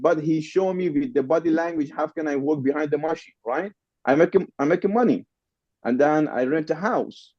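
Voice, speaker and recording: A man's voice: fairly high pitch, darkish tone, no echo, muffled recording. A man from the Middle East whose first language is Farsi, speaking English with a Persian accent as a podcast guest, repeating phrases for emphasis. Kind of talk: casual interview storytelling.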